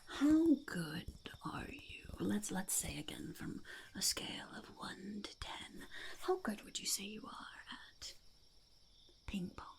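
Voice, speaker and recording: Whispering, gravelly goblin interviewer. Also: female voice, medium pitch, sing-song, full character voice. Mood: probing, quizzical. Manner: halting, fussy, self-repeating, refers to self in third person. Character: eccentric, self-important goblin interviewing candidates for henchman. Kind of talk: ASMR roleplay, whispered and soft-spoken interview.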